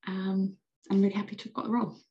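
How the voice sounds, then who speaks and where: A woman's voice: medium pitch, level tone, fast talker, lo-fi sound. A young British woman with a British accent, answering podcast interview questions in an enthusiastic, chatty way with frequent ums. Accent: British English. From Britain. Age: young adult. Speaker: secretary general of a youth organization.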